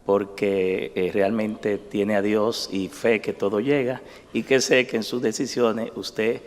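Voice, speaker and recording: A man, a legislator asking formal, halting, ceremonious questions at a hearing. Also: lowish voice, flat delivery. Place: large hearing chamber. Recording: long reverb in a hall.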